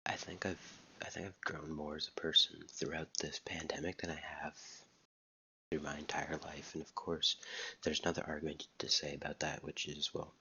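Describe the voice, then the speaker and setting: A young man in his late teens talking softly, almost whispering, with a halting way of repeating words, recorded close to the mic in his dorm room. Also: extremely low pitch, little pitch movement, slightly rough, dull audio. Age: young adult. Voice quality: soft, near-whispering voice. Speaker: vlogger. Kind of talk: soft-spoken personal vlog. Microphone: close mic, wet mouth sounds.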